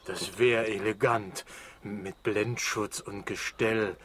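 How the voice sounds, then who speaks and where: Friendly short-sighted horse, kinda low, takes his time, plain rhymes, kids radio drama. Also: fairly even intonation, slow pace, background noise. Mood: earnest. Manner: rhyming, plain-spoken. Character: good-natured, short-sighted male plough horse. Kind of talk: children's radio play acting.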